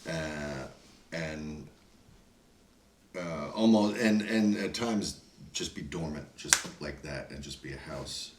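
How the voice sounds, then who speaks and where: Very deep-voiced man, halting. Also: noisy background. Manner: halting, repeats words, builds up emphatically. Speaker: cast member. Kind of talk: guest chat. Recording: echoey room, distant mic.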